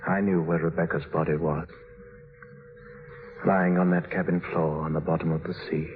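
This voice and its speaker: A man's voice: low voice, level tone, normal speed, narrow, tinny audio, natural voice. A man playing a tormented, guilt-burdened husband in a 1950s radio drama, grave and bitter in delivery. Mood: grim, resigned. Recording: thin, faintly noisy vintage radio recording.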